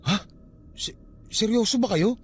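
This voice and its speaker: An actor playing a defensive, evasive young Filipino nephew in a radio drama, pleading and argumentative. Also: male voice, high-pitched, unhurried, breathy edge. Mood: curious.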